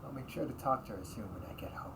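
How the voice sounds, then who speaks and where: A young delinquent older brother , played by a man, talks in blunt slang with a sarcastic, needling manner. His pitch is lively and shifting, as in a homemade audio drama. Some noise sits behind the voice. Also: fast talker, natural voice. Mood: suspicious, sarcastic. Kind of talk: amateur audio roleplay acting.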